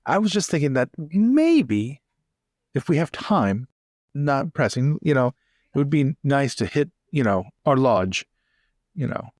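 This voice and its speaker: A man's voice: mid-range voice, very animated, measured pace, darkish tone, studio quality. Guy improvising at a game, kinda tentative, medium voice, no echo. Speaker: tabletop roleplay player. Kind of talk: casual improvised roleplay.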